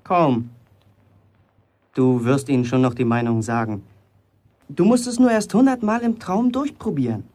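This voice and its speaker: A man's voice: medium pitch, a little slow, long pauses, darkish tone, natural voice. A young man playing a proud, wary teenage apprentice in a German radio drama, terse and dry. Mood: boastful, musing.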